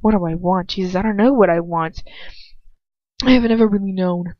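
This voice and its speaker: A woman voicing a conflicted, desperate young heroine in romantic fan fiction, hesitant and pleading, often hushed. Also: mid-range voice, a little fast, no room sound, natural voice. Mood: torn, pleading. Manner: breathy whispering, hesitant, pleading. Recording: dull lo-fi home recording.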